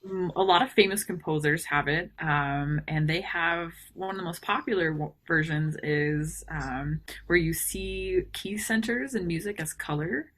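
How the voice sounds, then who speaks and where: A woman's voice: lowish voice, a little slow, few pauses, fairly bright tone. A young woman from Texas with a slight Texas accent, co-hosting a podcast in a chatty, giggly way with plenty of ums. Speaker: podcast co-host. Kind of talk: casual podcast chat.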